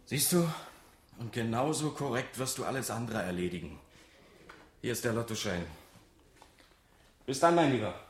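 A man's voice: mid-range voice, lively intonation, normal speed, pauses often, close to an everyday voice. A man acting in a radio drama as a scheming, insistent office auditor who talks persuasively and conspiratorially. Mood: coaxing, pressing. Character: scheming, insistent male office auditor hiding a lottery win. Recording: roomy echo, faint noise.

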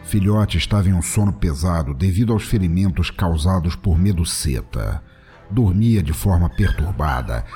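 A man's voice: sits low, dark tone. A man with a Brazilian accent narrating a scripted comic story in a solemn, dramatic way. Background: music.

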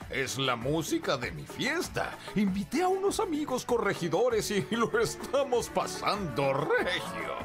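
An actor playing a pompous, boastful, clueless old-fashioned gentleman in a comic radio drama. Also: high-pitched, huge pitch swings, a little slow, hardly any pauses, over music, exaggerated voice. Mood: gleeful, defiant. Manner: pompous, theatrical, hesitant when caught out.

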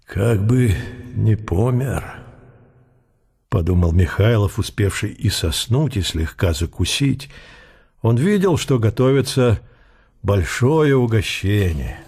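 A man reading literary narration in an unhurried, characterful storytelling manner. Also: lowish voice, animated, a little mellow, breathy edge. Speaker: narrator of an audio drama.

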